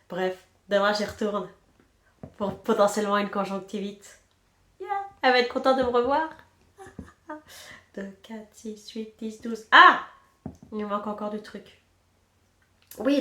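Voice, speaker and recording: A woman's voice: medium pitch, fairly bright tone, echoey room. Chatty woman with a stuffy head cold. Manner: chatty and self-mocking, trails off counting stitches. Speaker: knitting podcast host. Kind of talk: casual chat while knitting.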